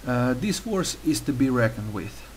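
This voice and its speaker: A young man with a Croatian accent narrates a game tutorial at a mid-range pitch, working through his sentences with frequent pauses and filler sounds. A faint hiss sits under his voice. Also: expressive. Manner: careful non-native phrasing with frequent uh fillers. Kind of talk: gameplay tutorial voice-over.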